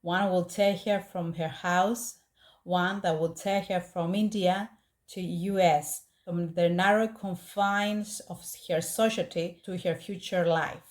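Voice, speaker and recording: A woman with a Greek accent, a native Greek speaker, hosting a podcast and narrating a true story in an earnest, measured way. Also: lowish voice, fairly even intonation. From Greece. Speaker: podcast host.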